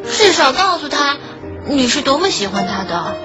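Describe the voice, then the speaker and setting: A woman's voice: high voice, big pitch swings, moderate pace. A woman playing a sweet, guileless schoolgirl best friend in a radio drama, cute and emotive. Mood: upset, pleading. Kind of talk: Chinese radio drama acting. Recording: dull lo-fi audio under clear music.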